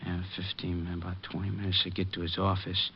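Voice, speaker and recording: A man's voice: low-pitched, monotone, brisk, a little hiss or hum. A man playing a mocking, pushy gangster with slangy tough-guy talk in an old-time radio drama. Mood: calculating. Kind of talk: 1940s-50s radio drama acting. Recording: dull old radio recording.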